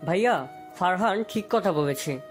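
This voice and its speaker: A woman's voice: on the low side, expressive, moderate pace, natural voice. A woman acting a supportive, affectionate younger relative in a family audio story. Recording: faint music behind.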